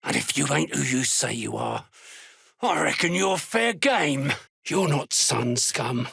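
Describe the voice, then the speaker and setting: Gravelly, menacing fantasy dwarf slave hunter. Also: male voice, mid-range voice, expressive, takes it slowly, bright, forceful tone, no echo, clean studio sound, heavily acted. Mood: gruff, sardonic. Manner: blunt, threatening, scornful. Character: gruff, menacing duergar slave hunter, callous fantasy soldier. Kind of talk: video game character voice lines.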